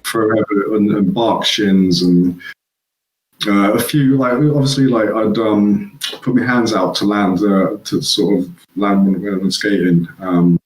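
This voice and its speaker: Rambling, low-voiced man in an echoey room. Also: steady tone, a little slow. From England. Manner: rambling, laughs at own stories. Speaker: guest. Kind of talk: casual chat.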